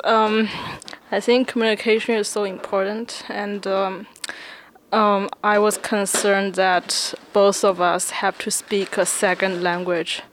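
A woman's voice: mid-range voice, slight background noise. A young woman whose first language is Chinese, answering interview questions in careful, hesitant English.

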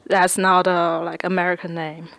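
A woman's voice: fairly low pitch, little pitch movement, moderate pace, vocal fry, fairly bright tone, no room sound. A young woman whose first language is Chinese, answering interview questions in careful, hesitant English.

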